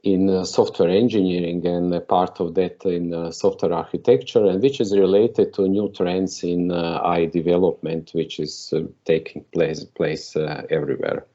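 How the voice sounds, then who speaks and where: A man's voice: low-pitched, fairly even intonation, normal speed, hardly any pauses, warm tone. A man from Croatia with a Croatian accent gives a conference keynote over a video call, hesitant and full of filler sounds. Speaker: keynote speaker. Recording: dull lo-fi video call audio.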